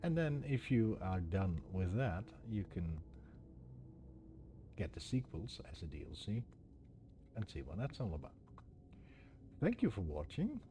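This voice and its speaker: Casting: male game streamer, low voice with lively swings in pitch, casual and musing, noticeable background noise. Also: a little slow, pauses often. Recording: clear background noise, ambience. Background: ambient noise.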